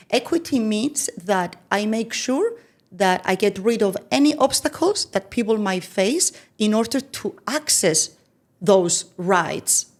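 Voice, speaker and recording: A woman's voice: a little high, darkish tone. A woman speaking as a podcast guest who explains ideas step by step and often checks in with "right?". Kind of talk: explanatory conversation.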